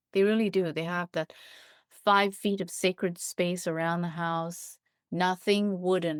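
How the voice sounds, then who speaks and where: Low-pitched woman explaining, dry close sound. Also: no echo. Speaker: interview guest, biologist and writer. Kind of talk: thoughtful explanatory interview talk.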